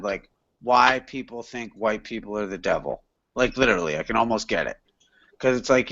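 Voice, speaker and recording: A man's voice: medium pitch, a bit bright, no echo, highs cut off. A man chatting casually as a panelist in an online hangout, rambling and profane, with lots of fillers. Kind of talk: casual chat.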